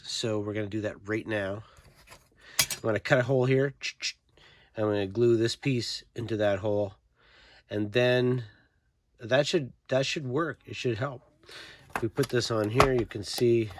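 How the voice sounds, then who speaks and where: A man's voice: lowish voice, dry recording. A man giving a casual hands-on how-to narration, informally thinking aloud as he works. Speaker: host of a toy restoration tutorial.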